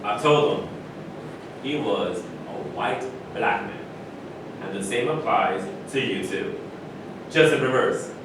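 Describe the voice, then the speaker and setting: A man in a staged play reading, playing a candid, opinionated basketball legend with deliberate, emphatic pauses. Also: lively intonation. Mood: explaining. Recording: very echoey distant room, rumbling noise.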